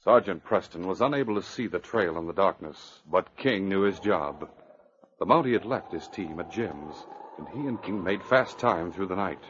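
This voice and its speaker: A man's voice: deep voice, animated, moderate pace. A man narrating an adventure serial in an earnest, suspenseful, declamatory old radio style. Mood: grave suspense. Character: earnest male storyteller of a northern adventure serial. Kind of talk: dramatic 1940s-50s radio narration. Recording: dull, hissy vintage radio recording.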